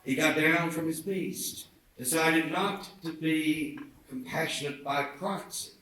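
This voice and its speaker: A man's voice: a little high, slow, sharp tone. A man reading a historic speech aloud at a public event with a preacherly, oratorical delivery. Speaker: reader at a commemorative event. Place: indoor theatre event. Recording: very echoey hall, distant mic, faint noise.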